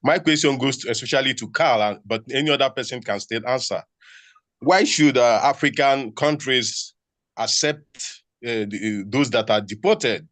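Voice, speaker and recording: A man in a dry, close recording, with a mid-range voice. He is a university researcher and talks haltingly, with pauses and restarts as he works toward his question. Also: mid-range voice, a bit bright, no room sound. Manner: hesitant, many fillers and restarts. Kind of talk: panel question. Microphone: video call.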